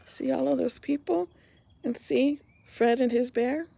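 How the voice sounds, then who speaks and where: A middle-aged woman reading a picture book aloud to children, pausing to point out the pictures. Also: high voice, vocal fry, warm tone, slightly rough. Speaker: homeschool story reader.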